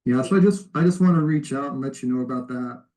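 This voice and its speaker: A man's voice: medium pitch, fast. Polite, tentative man making calls. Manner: polite, tentative, soft-sell. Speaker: cold caller offering help claiming surplus funds. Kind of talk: informal phone conversation. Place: recorded phone call played back in a lesson.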